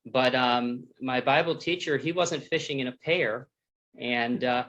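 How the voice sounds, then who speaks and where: A man from the United States presents a personal testimony at an online church forum, talking plainly with frequent restarts and pauses. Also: mid-range voice, fairly bright tone, lo-fi sound. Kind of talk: personal testimony talk with slides.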